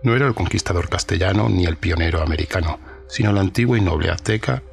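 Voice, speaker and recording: Male actor as aging, secretive ex-officer in an audio horror tale: deep, creaky, formal, measured, music beneath. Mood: measured, reflective. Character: weary, secretive old former army officer recounting horror. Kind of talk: first-person audio horror storytelling. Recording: music under the voice.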